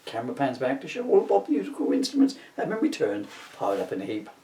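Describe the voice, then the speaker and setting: A man's voice: mid-range voice, very animated, quick pace, dark tone. A man giving a comic scripted reading with wry, self-mocking asides. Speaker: author reading his own script. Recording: roomy echo, distant mic.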